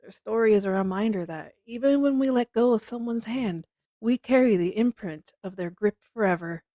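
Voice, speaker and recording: A woman narrating a literary text in a measured, reflective manner. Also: on the high side, dark tone, dry recording, clean studio sound. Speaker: narrator reading a book aloud.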